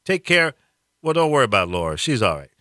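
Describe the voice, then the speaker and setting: Man, blunt talk-radio ranter: animated delivery, dry close sound. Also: mid-range voice, fast talker, dry recording. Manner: blunt, fiery, stammers and repeats words. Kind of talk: political rant on talk radio.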